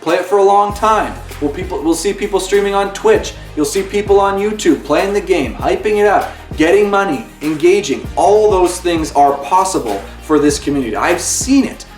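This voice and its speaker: Guy doing a game review, higher voice, kinda far from the mic in an echoey room w faint music. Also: expressive, moderate pace, hardly any pauses, a little mellow. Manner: earnest and impassioned, with pleading appeals. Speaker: video game reviewer and channel host. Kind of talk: spoken video game review. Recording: roomy echo, away from the mic, faint music.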